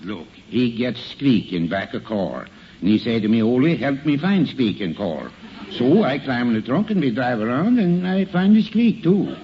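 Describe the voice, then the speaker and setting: A man's voice: mid-range voice, big pitch swings, moderate pace, close to an everyday voice. An actor playing a cheerful Scandinavian immigrant working man who talks folksy, emphatic broken English in a radio comedy. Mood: earnest explaining. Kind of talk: 1950 radio comedy acting. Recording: noisy muffled vintage radio recording.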